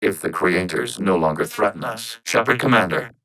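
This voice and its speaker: A low-voiced male robot with a synthetic, filtered sound. The delivery is formal and measured, each statement precise and logical. It is a calm, unemotional machine intelligence from a science fiction video game. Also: slight background noise. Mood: flat, analytical.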